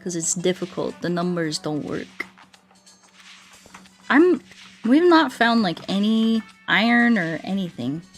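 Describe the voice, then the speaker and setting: A woman talks casually on a gaming livestream over faint music. Her voice sits at an average pitch for a woman. She rambles playfully and answers viewers out loud. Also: lively intonation, takes it slowly, long pauses.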